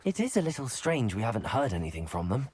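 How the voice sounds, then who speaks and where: Expressive young student wizard. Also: male voice, medium pitch, quick pace. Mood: alert, polite. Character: earnest, determined young male student wizard. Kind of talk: video game cutscene dialogue.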